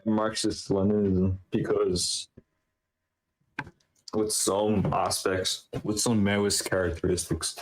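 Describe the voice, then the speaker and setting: A man's voice: low voice, flat delivery, takes it slowly. A man from Ireland with an Irish accent, rambling through a casual online debate with plenty of filler words. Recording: roomy echoey sound.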